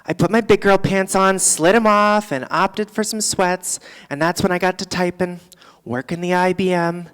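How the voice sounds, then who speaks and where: A performer playing a boozy, world-weary middle-aged female comic, doing a rambling, deadpan stand-up monologue live on a theatre stage. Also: mid-range voice, normal speed, clean tone, in a large hall, natural voice, poor audio. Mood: confessional, swaggering. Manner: rambling, deadpan, theatrical, swinging between asides and outbursts.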